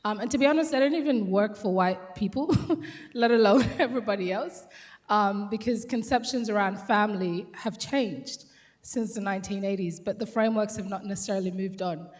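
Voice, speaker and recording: A woman's voice: medium pitch, moderate pace. A woman from South Sudan who moved to Australia as a child, speaking informally and reflectively as a panelist at a conference, thinking aloud as she goes. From South Sudan, moved to Australia at ten. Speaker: panel speaker, gender and race researcher. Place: conference hall, on a microphone. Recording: echoey hall with long reverb.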